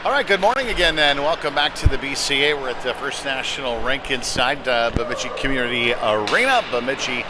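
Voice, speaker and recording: Man calling hockey over loud rink noise. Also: mid-range voice, brisk, hardly any pauses. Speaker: live sports commentator. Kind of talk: live hockey play-by-play commentary. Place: indoor community ice rink. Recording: noisy ice arena ambience.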